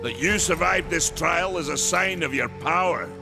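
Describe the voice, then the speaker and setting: An actor playing a proud, stern warrior in a video game, gravelly and declamatory. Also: male voice, fairly high pitch, normal speed. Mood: stern approval. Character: proud, stern warrior maker, fierce and zealous. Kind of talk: video game cutscene acting. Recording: loud music over the voice. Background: loud game score.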